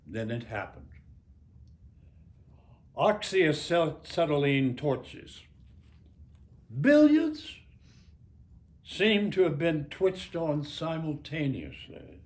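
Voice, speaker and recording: A man in his nineties with an American accent reads his own literary memoir aloud in a measured, deliberate way, with dramatic phrasing and pauses. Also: on the high side, wildly swooping pitch, a little mellow. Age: 90s. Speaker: author.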